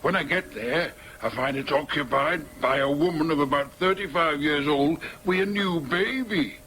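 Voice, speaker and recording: A Northern English working man giving a dramatic monologue. His voice sits a little high, and he recounts things plainly and deliberately. There is faint noise in the recording. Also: pushed and bright. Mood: matter-of-fact recounting. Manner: matter-of-fact, deliberate. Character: plain-spoken former maintenance man recounting a confession. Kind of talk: first-person dramatic monologue.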